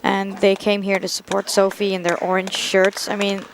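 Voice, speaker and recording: A woman's voice: mid-range voice, completely flat delivery, moderate pace. A woman doing live sports commentary at a bowling event, chatting casually in a giggly, enthusiastic, rambling way. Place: bowling championship venue. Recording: noisy hall, crowd behind. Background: bowling crowd.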